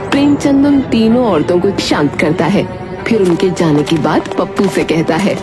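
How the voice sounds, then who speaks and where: A woman narrating a scripted moral story in a steady, explaining way. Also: fairly low pitch, moderate pace. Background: background music.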